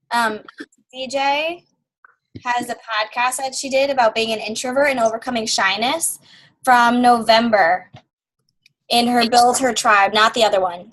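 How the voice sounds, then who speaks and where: Bubbly high voiced woman running a group call, giggly, chatty. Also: rough recording. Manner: bubbly, laughs often, excitable. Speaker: team call host. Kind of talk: casual group call chat.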